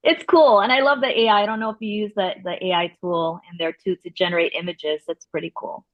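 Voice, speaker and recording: Slightly muffled, dry online-call audio carries a woman's fairly low voice. She talks casually as one of the participants in a group meeting. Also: steady tone, fast talker, no echo. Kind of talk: casual chat. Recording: dull lo-fi video call audio.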